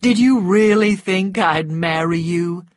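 A woman voicing a mocking, self-assured man in an audiobook story, blunt, deliberate and teasing. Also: sits low, expressive, husky, no echo, studio quality, heavily acted. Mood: scornful.

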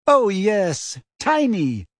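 A man's voice: high voice, expressive, a little slow, breathy edge, no room sound, clean studio sound, voice pushed up, exaggerated voice. A man voicing an anxious teenage girl in an audiobook, emotional, urgent and incredulous. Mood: sarcastic. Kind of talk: audiobook character voice.

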